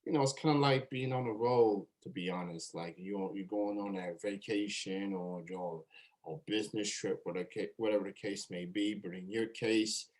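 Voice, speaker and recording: A man hosting a casual online interview, talking in a halting way and repeating words. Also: fairly low pitch, a little mellow. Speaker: show host interviewer.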